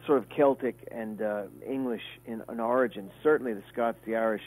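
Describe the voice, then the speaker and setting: A man's voice: medium pitch, brisk, a little mellow, low-quality recording. Chatty man, rambling. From Kentucky, USA. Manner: rambling, chatty, with many fillers and restarts. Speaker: guest musician. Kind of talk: casual radio interview.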